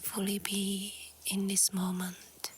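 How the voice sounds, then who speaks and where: A woman speaks in a soft, breathy near-whisper, guiding a yoga nidra meditation very slowly. Her delivery is gentle and calm, staying on an even, flat tone through short phrases. Faint outdoor ambience sits behind her voice. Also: medium pitch, slight background noise. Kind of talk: guided relaxation meditation. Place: outdoors in nature. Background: faint natural ambience.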